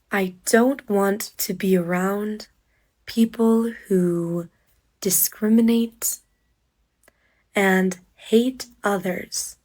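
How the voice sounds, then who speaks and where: A woman teaching English at an extremely slow, careful pace, breaking every sentence into short pieces. Her pitch sits in a middle range, and she sounds a bit congested and sick. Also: darkish tone. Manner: very slow, short phrases with long pauses. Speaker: podcast host and English teacher. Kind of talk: slow, deliberate English lesson monologue.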